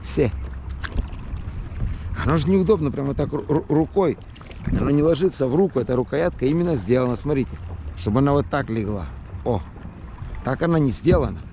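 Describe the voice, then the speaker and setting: A man's voice: mid-range voice, animated, highs cut off, noisy background. A man with a Russian accent touched by Brooklyn, chatting casually outdoors on the water, thoughtful, with frequent restarts. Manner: chatty, restarts often, speaks slowly and thoughtfully. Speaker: vlogger on a paddleboard. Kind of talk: casual chat.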